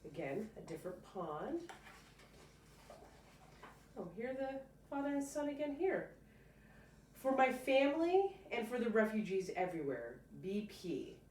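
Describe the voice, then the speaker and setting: A woman teacher reading a picture book aloud to a child, pausing to explain and prompt with encouraging questions. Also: mid-range voice, darkish tone. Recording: roomy, distant mic, faint noise.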